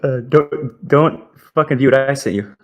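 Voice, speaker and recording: A young man streaming a game live, reacting excitably and swearing as he plays. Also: medium pitch, normal speed. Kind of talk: live gaming commentary.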